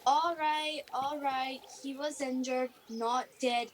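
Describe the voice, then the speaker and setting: A woman's voice: sits high, steady tone, takes it slowly, slight background noise. A woman playing a bickering, bloodthirsty soldier full of childlike bluster in a comedy radio play. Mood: matter-of-fact. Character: squabbling, bloodthirsty soldier named Liliana.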